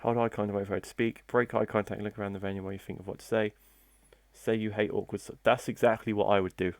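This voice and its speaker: A man's voice: fairly low pitch, little pitch movement, fast talker, no echo. A male gaming host doing let's play commentary, joking, mocking and reading the options aloud.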